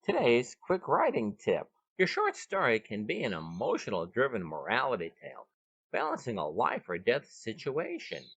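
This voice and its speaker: A man's voice: medium pitch, wildly swooping pitch, normal speed, fairly bright tone, husky, no echo, highs cut off. A man hosting and narrating a scripted short story podcast with dramatic, emphatic delivery, recorded outdoors on a veranda. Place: veranda of a bed and breakfast, outdoors.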